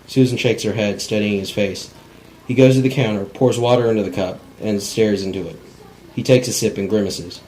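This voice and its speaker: Low-voiced man reading stage directions. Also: moderate pace, warm tone, slight background noise, rough recording. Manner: plain, unpolished cold reading with stumbles. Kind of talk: scripted narration at a table read.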